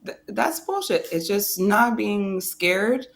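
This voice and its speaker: A young woman talking as a guest in a casual interview, eager and blunt, quick to agree and not shy about swearing. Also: medium pitch, expressive, creaky. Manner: enthusiastic, quick to agree, plain-spoken with some swearing.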